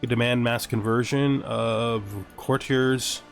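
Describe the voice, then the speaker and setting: Guy doing casual let's play commentary, thinks out loud and asks himself stuff, game music behind him. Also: mid-range voice, little pitch movement, slow pace, gravelly. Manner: musing, mutters questions and answers to himself. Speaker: gamer narrating a let's play.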